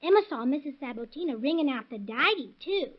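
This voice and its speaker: Earnest high-voiced little girl witness. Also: expressive, fairly quick. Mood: earnest, timid. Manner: artless, hesitant, deferential. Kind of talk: 1930s radio crime drama acting. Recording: dull vintage 1930s radio recording.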